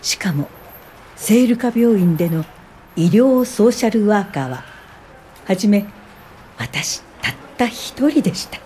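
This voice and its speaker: An older woman, an actress in the role, narrates an earnest memoir for a radio drama. Her voice is mellow and soft-edged at a middle pitch, with faint noise behind it. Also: lively intonation, measured pace, pauses often, natural voice. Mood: reflective. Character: earnest Japanese woman social worker recalling her life. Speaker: narrator and lead actor.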